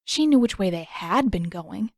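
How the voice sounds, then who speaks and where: Woman narrating fiction, mid-pitched and expressive. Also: normal speed, dry recording, studio quality.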